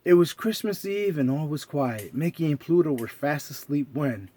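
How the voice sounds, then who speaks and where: A man reading a story aloud to children in an animated, theatrical storytelling style. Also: fairly high pitch, normal speed, no echo.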